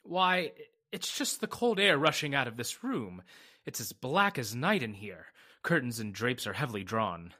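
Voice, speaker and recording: A man playing a brisk, level-headed and skeptical attorney in an old-time radio drama. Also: medium pitch, big pitch swings, pushed and bright. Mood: dismissive, practical.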